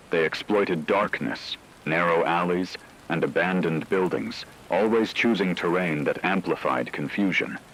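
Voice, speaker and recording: A man reading scripted apocalyptic horror narration in a measured, solemn, ominous way. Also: low voice, fairly bright tone, poor audio.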